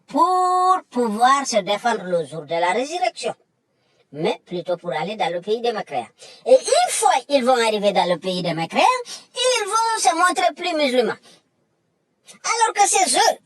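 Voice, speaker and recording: A woman's voice: fairly high pitch, no room sound. A woman delivering an emphatic, lecturing monologue that repeats its points. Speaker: commentator. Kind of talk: impassioned monologue.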